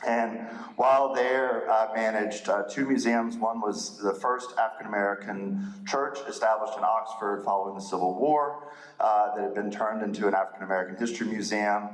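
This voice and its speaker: Man with a big voice in an echoey hall. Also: lowish voice. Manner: conversational, many fillers, jokes with the audience. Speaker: historian giving a talk. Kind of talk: lecture to a church audience. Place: church hall with audience.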